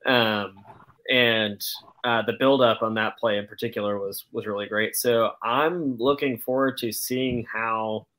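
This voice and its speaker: A man giving casual soccer analysis as a podcast panelist, halting as he goes, over a video call. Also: fairly low pitch, fairly even intonation, a bit bright, rough recording.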